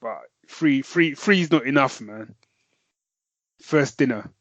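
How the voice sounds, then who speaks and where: A man's voice: medium pitch, animated, slow, fairly bright tone, no room sound, lo-fi sound. A man co-hosting a podcast in casual chat, rambling and correcting himself, laughing easily. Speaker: podcast co-host and novelist.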